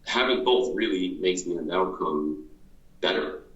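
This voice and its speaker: A man's voice: deep voice, expressive, a little slow, a bit bright, reverberant, dull audio. A man interviewing a guest in an earnest, motivational way, asking questions over and over in slightly different words.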